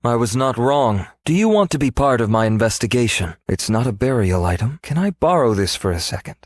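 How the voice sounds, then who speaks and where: A male actor voicing a scholarly, world-weary archaeologist in a video game, speaking in a measured, reflective, formal way. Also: medium pitch, sing-song, no room sound, studio quality. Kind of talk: scripted game character voice lines.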